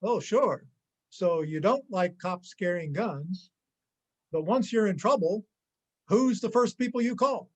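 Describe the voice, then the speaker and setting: A man in an amateur reader's theater play, acting a menacing, sarcastic gangster with a halting, sneering delivery. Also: sits high, lively intonation. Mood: sneering, suspicious. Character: menacing, sarcastic male gangster robber, jumpy and vengeful.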